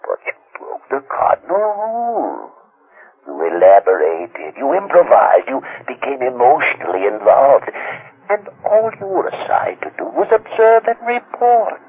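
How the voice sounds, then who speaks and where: A man's voice: medium pitch, wildly swooping pitch, unhurried, breathy edge, phone-quality sound, full character voice. A man acting in a radio drama as a condescending, controlling male handler, avuncular yet coldly menacing, with theatrical emphasis. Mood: scolding. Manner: patronizing, theatrical, swooping emphasis. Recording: thin, band-limited old radio sound.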